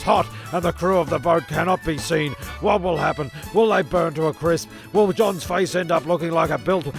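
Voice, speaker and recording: Male comic narrator, slightly high, creaky voice, rambling sweary asides, clear music bed. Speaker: narrator of comedy audio serial.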